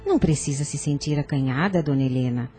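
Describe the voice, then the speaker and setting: An actress playing a kind, hospitable older aunt in a radio drama, gentle and maternal. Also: female voice, low voice, fast talker, faint music behind, natural voice. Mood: kind, welcoming.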